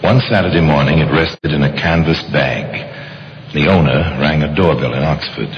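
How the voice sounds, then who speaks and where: Very deep, ominous radio crime narrator. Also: male voice. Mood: grave, ominous. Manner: measured, dramatic, clipped deliberate phrasing. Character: grave, ominous male narrator of macabre crime tales. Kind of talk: old-time radio mystery narration. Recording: dull, noisy old radio, long reverb.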